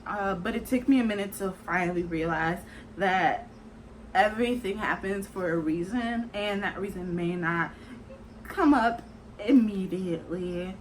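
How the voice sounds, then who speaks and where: A woman's voice: mid-range voice, measured pace, a little hiss or hum, low-quality recording. A woman in her twenties talking casually to camera in a chatty, rambling way, filling her speech with "like" and "kinda". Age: 20s. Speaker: vlogger.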